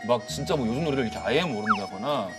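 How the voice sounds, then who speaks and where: Lively man in his thirties, music behind. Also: medium pitch, fast. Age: 30s. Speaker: talk show panelist. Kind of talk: conversational opinion-giving.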